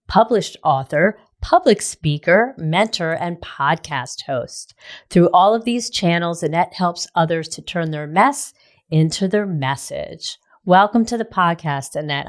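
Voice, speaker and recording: A woman hosting an interview podcast, upbeat and enthusiastic, asking questions with a few restarts. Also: lowish voice. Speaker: podcast host. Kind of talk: casual interview.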